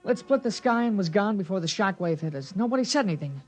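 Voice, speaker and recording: An actor playing an excited, earnest small-town boy narrating a world event in a 1950s radio drama, with breathless, wondering delivery. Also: high-pitched, fast talker. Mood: hushed wonder. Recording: vintage radio drama with loud music.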